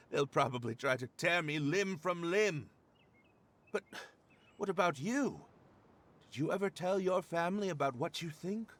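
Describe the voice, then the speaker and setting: A man playing an earnest, proud but weary young nobleman in a video game, speaking in a measured, formal way with dramatic pauses. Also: fairly high pitch, expressive, fairly bright tone. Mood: wry.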